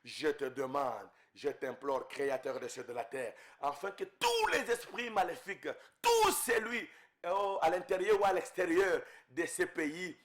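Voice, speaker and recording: A man's voice: fairly high pitch, lively intonation, measured pace, fairly bright tone. A man from Congo leading an intense spoken prayer as a preacher, fervent and repeating invocations, his voice rising and falling in waves.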